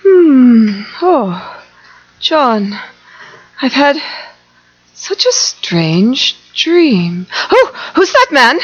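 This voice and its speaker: A woman acting a bewitched, ailing young woman in a live radio play, with drawn-out theatrical pleading. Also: sits high, big pitch swings, dull audio, full character voice. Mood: dreamy, weak.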